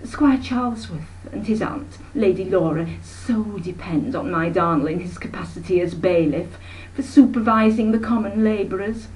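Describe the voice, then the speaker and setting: Storybook snobbish aunt, played by a woman: middle-aged, pompous, gushing, raised pitch. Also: normal speed, darkish tone, distant mic, a little hiss or hum, natural voice, low-quality recording. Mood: self-important, gushing. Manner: gushing, pompous, talks in long runs. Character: breezy snobbish middle-aged aunt, flustered, self-important chatterer.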